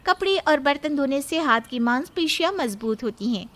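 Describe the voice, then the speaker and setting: A woman's voice: high-pitched, animated, moderate pace, pitched above the performer's own voice. A woman voicing a strict, principled young policewoman daughter-in-law in a cartoon story, lecturing firmly and giving orders. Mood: bossy. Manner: firm, commanding, lecturing. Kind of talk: cartoon moral story acting.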